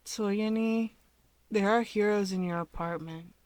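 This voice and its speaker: A woman's voice: medium pitch, a little slow, a little mellow, no echo, close to an everyday voice. A woman acts out an earnest young boy from a storybook, awkward and innocent. He talks in plain, guileless phrases, close and dry to the ear. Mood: sleepy, blunt.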